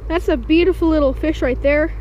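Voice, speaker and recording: High-pitched excited creekside fishing vlogger. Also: brisk. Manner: upbeat, keeps calling the viewers guys. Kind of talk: excited first-person fishing commentary. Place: outdoors at a small creek bank. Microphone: action camera worn on the body. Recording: dull lo-fi camera audio with faint noise.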